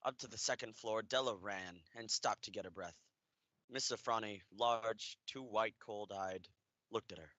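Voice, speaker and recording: A man's voice: lowish voice, normal speed, bright, forceful tone, dry recording, dull audio. A young man narrating a scripted radio play story.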